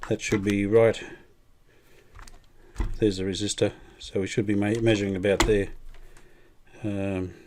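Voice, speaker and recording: A middle-aged man, talking casually while he tests and repairs an old radio in his workshop, thinking aloud with many pauses and restarts. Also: lowish voice, little pitch movement, noisy background. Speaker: radio restorer, channel host. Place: home workshop bench.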